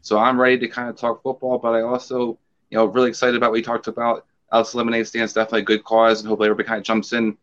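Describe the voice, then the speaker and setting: Male co-host, rapid, excited chatter, dull lo-fi sound. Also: mid-range voice. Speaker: podcast co-host. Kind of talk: casual podcast chat.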